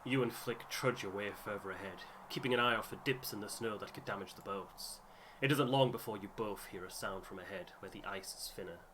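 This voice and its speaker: A man's voice: on the low side, brisk, noisy background. A man doing a game playthrough, moving between dramatic story narration and casual chat. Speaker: game streamer reading out narration. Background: game music.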